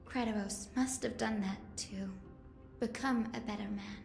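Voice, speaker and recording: A woman voicing a gentle, earnest young healer in a video game, soft-spoken and measured in her delivery. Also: medium pitch, fairly even intonation, creaky, reverberant, soft background music, natural voice. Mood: solemn, wistful. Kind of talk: video game story dialogue.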